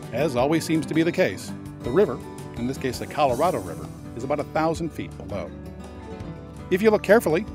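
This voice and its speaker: A man narrating a road trip travel video in a measured, informative tour-guide manner. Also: on the high side. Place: voice-over, not on location. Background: guitar music.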